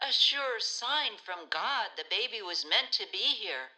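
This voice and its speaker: A woman playing a devout child-placement counselor in a community radio play, warm and playful in her talk. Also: mid-range voice, lively intonation, unhurried, rough recording.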